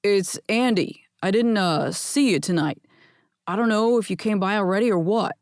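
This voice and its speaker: A woman voicing a drunk young man who rambles loudly with slurred, halting speech. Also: mid-range voice, dry recording, clean studio sound, close to an everyday voice. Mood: tipsy. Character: drunk young man shouting over a club.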